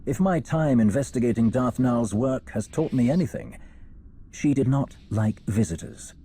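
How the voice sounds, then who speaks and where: An actor playing a scheming Sith scholar in a video game, silky and theatrical, with mock deference over quiet ambition. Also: male voice, mid-range voice, moderate pace, slightly rough, close to an everyday voice. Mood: wary, deferential. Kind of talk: cinematic video game acting.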